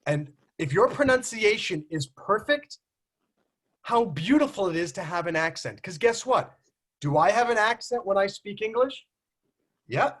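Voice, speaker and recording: An American man with a notably high, bright voice hosts a casual group chat over a video call. He talks in an animated, teasing way, slips into mock accents, and laughs easily. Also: measured pace. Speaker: host.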